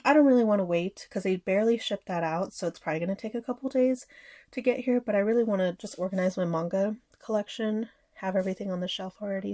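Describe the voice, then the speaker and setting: A woman's voice: medium pitch, mellow, no echo, clean studio sound. A woman in her thirties, sick and a bit nasal, chatting casually about her collection with frequent fillers and small laughs. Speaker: anime collector vlogger. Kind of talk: casual haul chat.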